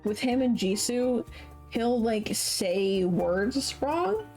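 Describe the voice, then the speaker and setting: A woman streamer chatting casually while playing a game, laughing often and filling pauses with "like". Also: on the high side, lively intonation, a little mellow, soft background music. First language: English. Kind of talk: casual gameplay commentary.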